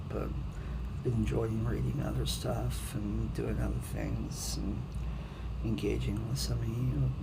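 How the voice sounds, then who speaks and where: Gravelly, slow-paced man with heavy vocal fry. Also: on the low side. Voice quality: gravelly, creaky. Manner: hesitant, self-deprecating when talking to viewers. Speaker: author reading his own poetry. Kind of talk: dramatic poetry reading, then casual rambling talk to viewers. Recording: clearly noisy amateur home recording.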